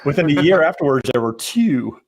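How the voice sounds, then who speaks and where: A man's voice: fairly high pitch, expressive, brisk. Middle-aged man, casual and conversational. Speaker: podcast panelist. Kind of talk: casual chat.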